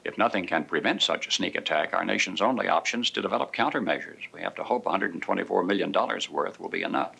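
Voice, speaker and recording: Gravelly, sharp-voiced male commentator. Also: sits low, quick pace, hardly any pauses.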